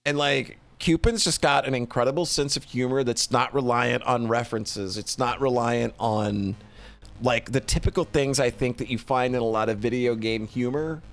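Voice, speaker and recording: A man streaming game reviews in a casual, rambling, expressive and effusive way, talking to a live chat and swearing casually. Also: mid-range voice.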